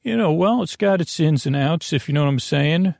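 A man hosting a sleep podcast in a meandering, halting monologue, drifting into digressions and whimsical imagined exchanges. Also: a little high, expressive, gravelly, a little mellow, no room sound, studio quality. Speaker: podcast host.

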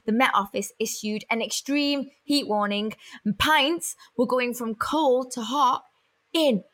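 A young British woman with a fairly high voice co-hosts a relaxed chat. She reads light news items in a playful way and stumbles over place names, giggling as she goes. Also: measured pace. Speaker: co-host. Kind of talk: casual chat and news reading.

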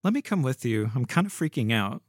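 A man's voice: medium pitch, sing-song, fairly quick, no echo, studio quality. Sassy, impatient young woman, acted by a man. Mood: worried. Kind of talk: audio story dialogue.

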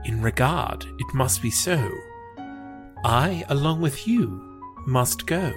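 A man reciting poetry in a measured, formal, old-fashioned dramatic style. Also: medium pitch, animated. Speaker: poetry reader. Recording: music under the voice. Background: music.

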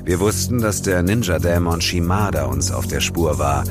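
An actor playing a world-weary, sardonic ghost hunter, narrating an audio drama in a measured, dry way. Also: male voice, rumbling low. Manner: measured storytelling with dry sarcasm. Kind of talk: scripted first-person audio drama narration. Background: loud music.

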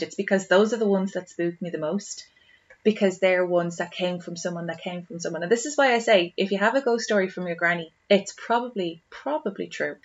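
A woman's voice: lowish voice, fairly bright tone, lo-fi sound. An Irish woman co-hosting a podcast in casual chat, with an exclamatory, storytelling manner. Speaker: podcast co-host.

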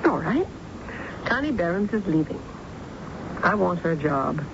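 A woman's voice: fairly low pitch, very animated, measured pace, creaky, heavily acted. An actress with an American accent playing a hard-bitten, ambitious working mother and deserted wife in an old-time radio drama. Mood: hard, determined. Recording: dull, very noisy old radio broadcast.

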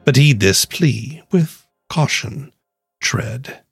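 A man's voice: mid-range voice, lively intonation, extremely slow, vocal fry, husky, no echo, faint music behind, full character voice. A man voicing a vengeful, seductive spirit of wrath in a grandiose, solemn poetic monologue with archaic wording. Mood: ominous.

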